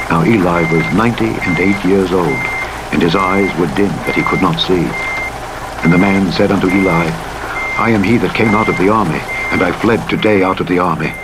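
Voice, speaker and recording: A man narrating the Bible in a solemn, measured storytelling style, acting out the dialogue as he reads. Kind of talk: scripted scripture reading with dramatized dialogue. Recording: rumbling truck and rain noise over playback. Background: vehicle rumble, rain, simulator truck sounds.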